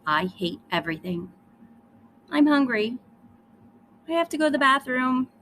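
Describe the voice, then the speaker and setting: A woman's voice: high-pitched, big pitch swings, moderate pace, fairly bright tone, a little hiss or hum, voice pushed up. A woman voicing a jealous, bossy young girl in a storybook, with emphatic, dramatic declarations. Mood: whiny.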